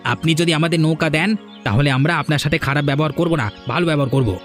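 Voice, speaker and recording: A man's voice: a little high, fast talker, a bit bright, faint music behind, natural voice. A man voicing a greedy, scheming village man in a cartoon, brash and mocking in tone. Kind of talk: cartoon voice acting.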